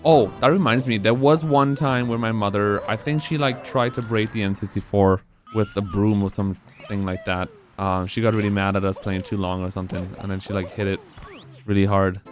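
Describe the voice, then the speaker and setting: A man's voice: on the low side, fairly even intonation, fairly quick, soft background music. A man in his twenties speedrunning on a live stream, rambling casually and answering chat between moves.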